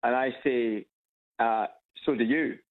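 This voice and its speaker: A man's voice: medium pitch, steady tone, measured pace. A man with an Edinburgh Scottish accent calling in to a radio show, hesitant and repeating his words as he chats. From Scotland. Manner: hesitant, repeats words, chuckles at own stories. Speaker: caller. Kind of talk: casual phone chat. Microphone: phone on loudspeaker. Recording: tinny phone line.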